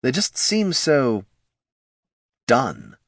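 A weary lich overlord, played by a man speaks in a hollow, measured voice. He is dry and unimpressed, an undead wizard from a fantasy audiobook. The sound is close, with no room around it. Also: medium pitch, huge pitch swings, a bit bright, dry recording, voice pushed up, full character voice.

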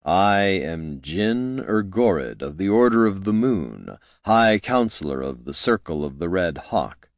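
A man voicing a haughty young male wizard counselor in an audiobook, pompous, with drawn-out vowels. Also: deep voice, lively intonation, dry recording, studio quality.

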